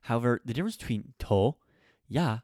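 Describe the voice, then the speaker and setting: A man's voice: mid-range voice, expressive, fairly quick, a little mellow, no echo, studio quality. A man hosting a casual grammar lesson, chatty and self-correcting as he walks through examples. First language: English. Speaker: language teacher.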